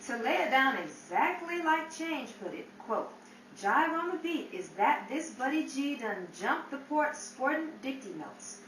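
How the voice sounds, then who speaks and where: A woman's voice: high voice, big pitch swings, unhurried, fairly bright tone, exaggerated voice. A woman playing a wisecracking, slangy 1930s nightclub go-between in a theatrical old-time radio style. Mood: swaggering, slangy. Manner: theatrical, jive-slang patter. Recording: roomy, distant mic, hissy background.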